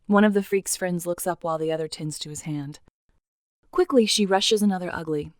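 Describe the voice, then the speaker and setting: A woman's voice: lowish voice, a little fast, dry recording, studio quality. A woman narrating an audio drama as scripted, dramatic storytelling.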